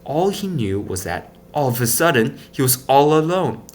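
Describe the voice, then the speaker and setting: A man reading a children's story aloud with expressive, dramatic emphasis. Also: mid-range voice, moderate pace, a little hiss or hum. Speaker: storybook reader.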